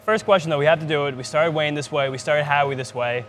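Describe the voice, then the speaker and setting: A man's voice: a little high, fast talker, fairly bright tone, soft background music. A man hosting a podcast interview on location, putting questions in an upbeat conversational style. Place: spring training ballpark. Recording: faint background ambience noise.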